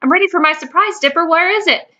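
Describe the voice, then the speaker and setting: A woman's voice: extremely high pitch, fast, pushed and bright, pitched above the performer's own voice. A woman voicing a playful, impatient young girl twin in a fan fiction story, with exuberant drama. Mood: eager.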